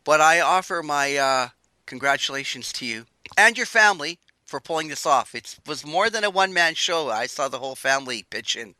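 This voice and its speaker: An older man hosting a radio show, chatting in a relaxed, rambling way. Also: a little high, a little fast, no room sound. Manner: relaxed, chatty, wandering sentences. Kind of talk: casual interview chat.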